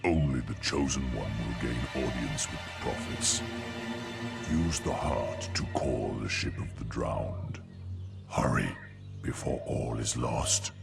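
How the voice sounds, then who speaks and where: A battle-worn veteran hero of a fantasy game, weary yet urgent. The voice is extremely low and heavily gravelled, breathy at times. He speaks slowly, over loud music. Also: heavily acted. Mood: grave, urgent.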